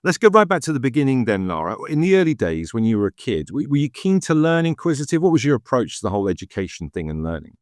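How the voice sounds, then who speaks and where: A middle-aged man hosting a podcast interview in a brisk, chatty way. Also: mid-range voice, sing-song, dry recording, studio quality. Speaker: podcast host, radio DJ.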